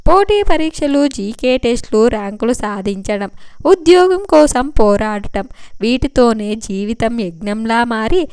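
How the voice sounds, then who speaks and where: Woman reading earnest, deferential young policeman, high clear voice. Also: lively intonation, measured pace, darkish tone, no room sound, studio quality. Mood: earnest, rueful. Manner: respectful, earnest, repeatedly saying sir. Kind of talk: audiobook character dialogue.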